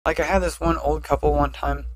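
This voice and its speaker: A woman with a deeper voice chatting casually as a podcast co-host, blunt and often laughing at herself. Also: fairly even intonation, brisk. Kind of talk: casual chat.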